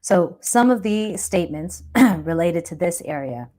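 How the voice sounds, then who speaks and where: A woman's voice: fairly low pitch, lively intonation, unhurried, warm tone. A woman hosting a live chat, talking earnestly and reflectively about self-doubt and growth, often checking in with a "right?". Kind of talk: motivational live stream talk.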